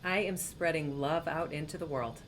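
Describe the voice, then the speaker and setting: A woman speaking slow, soft affirmations in a guided meditation. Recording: faint background rumble.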